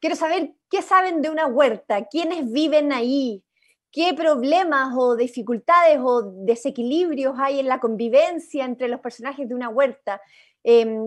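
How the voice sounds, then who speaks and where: A young woman with a Chilean accent guiding an informal workshop over a video call in an encouraging, inviting way. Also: sits high. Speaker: workshop facilitator. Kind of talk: informal guided workshop talk. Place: indoor room, on a video call. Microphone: video-call computer microphone.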